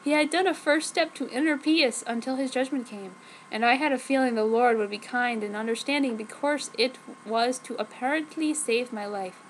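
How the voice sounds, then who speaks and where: A woman's voice: a little high, moderate pace, a little hiss or hum, rough recording. A woman giving a theatrical, comic dramatic reading of fan fiction, delivering misspelled words exactly as written. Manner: theatrical, reads misspellings literally.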